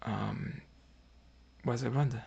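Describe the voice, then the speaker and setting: Gravelly Cuban man thinking aloud. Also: medium pitch, fairly even intonation, slow, a little mellow, lo-fi sound. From Cuba. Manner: thinks aloud, often tags "right?"; chats with viewers between moves. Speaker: chess streamer. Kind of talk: live chess commentary while playing.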